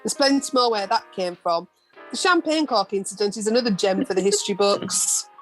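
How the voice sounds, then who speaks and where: A woman's voice: mid-range voice, a little fast, a bit bright, close to an everyday voice, poor audio. A woman acting a shameless, bawdy storyteller in an amateur radio play, theatrical and boastful. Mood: brazen. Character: Nancy, brazen, bawdy, shameless female storyteller. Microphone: video call from home. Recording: home video-call recording with music.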